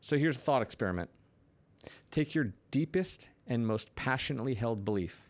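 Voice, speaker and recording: A man delivering a scripted political commentary in an emphatic, indignant way, with short punchy phrases and deliberate pauses. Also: medium pitch, lively intonation.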